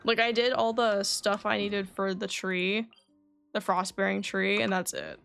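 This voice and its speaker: A woman's voice: mid-range voice, lively intonation, unhurried, vocal fry, fairly bright tone, clear, resonant tone, faint music behind. A woman streaming a game, chatting casually to viewers as she plays. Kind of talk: casual livestream chat and reading game dialogue aloud. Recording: faint background noise.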